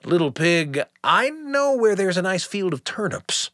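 A man's voice: high voice, very animated, unhurried, sharp tone, no room sound, clean studio sound, voice pushed up, full character voice. A man voicing a sly, hungry storybook wolf who coaxes with a wheedling, falsely friendly manner. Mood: coaxing, menacing.

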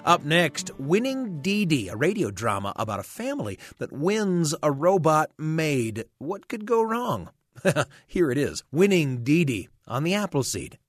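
A man hosting a family storytelling radio show, delivering a warm scripted introduction. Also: on the high side, sing-song, a little slow, faint music behind.

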